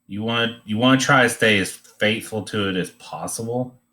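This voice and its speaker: A man giving an informal, unscripted drawing demonstration, thinking aloud in a halting way as he teaches. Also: lowish voice, bright, forceful tone.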